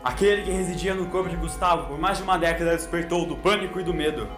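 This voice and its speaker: A man's voice: a little high, fast. A man with a Brazilian accent narrating a dramatic scripted story. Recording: roomy echo with music underneath.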